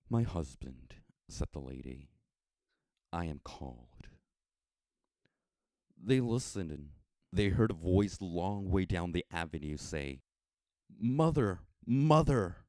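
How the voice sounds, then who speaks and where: An American man reading a literary story in a measured, solemn manner. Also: low voice, dark tone, no room sound. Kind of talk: scripted storybook narration.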